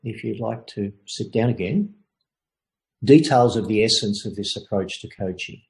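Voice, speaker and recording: An older man coaching a group in a webinar, talking in a measured, thoughtful way with frequent pauses. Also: on the low side, gravelly, rough recording. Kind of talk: informal lecture and Q&A.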